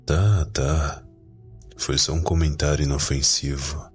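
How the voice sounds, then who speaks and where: An extremely low, slow-talking vampire brother acted by a man, grumpy and curt with a soft heart underneath. He speaks in an ASMR roleplay drama with music behind him. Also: steady tone. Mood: grumbling. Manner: curt, grumbling, dismissive. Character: grumpy young vampire brother, secretly affectionate, gruff.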